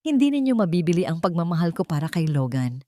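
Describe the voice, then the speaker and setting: A woman's voice: on the low side, big pitch swings, quick pace, warm tone, no room sound, clean studio sound. A woman voicing a devoted, defiant female teacher who speaks firmly with held-back anger in an audio story. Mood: restrained anger. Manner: firm, restrained anger.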